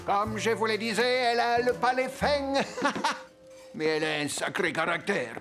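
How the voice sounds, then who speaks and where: A narrator voicing a fussy, excitable male restaurant chef who fawns over a guest in a sing-song way. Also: medium pitch, measured pace, over music, pitched above the performer's own voice, natural voice.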